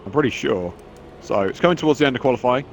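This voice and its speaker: A man's voice: mid-range voice, lively intonation, fast, slight background noise. A man commentating a sim race in casual chat, sounding nasal from a cold, rambling and poking fun at himself. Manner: rambling, self-mocking, chatty.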